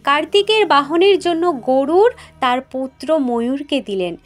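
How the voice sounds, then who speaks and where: A woman storyteller narrating a mythological tale in an expressive, deliberate way, voicing the dialogue as she goes. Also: sits high. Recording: music behind the voice. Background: music.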